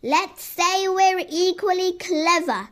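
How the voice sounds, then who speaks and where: Storybook role: shrewd, polite male ferret, read by a woman, high-voiced, very unhurried, good-humoured. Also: fairly bright tone, exaggerated voice. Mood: conciliatory. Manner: polite, measured storybook dialogue.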